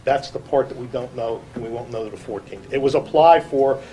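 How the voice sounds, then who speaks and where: A man speaking as a police chief, explaining details formally in a halting, long-winded way at a public meeting. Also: medium pitch, warm tone, poor audio. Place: indoor public council meeting. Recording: clear background noise, room ambience.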